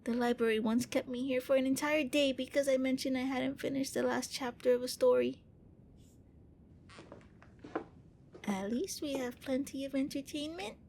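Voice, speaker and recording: Girl doing a high pitched shy vampire prince, bookish n polite, asmr roleplay, bit of rumbly noise behind. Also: steady tone, a little fast, creaky, hardly any pauses, darkish tone. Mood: resigned. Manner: hesitant, politely fussy, earnest. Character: gentle, flustered young vampire prince, bookish and courteous.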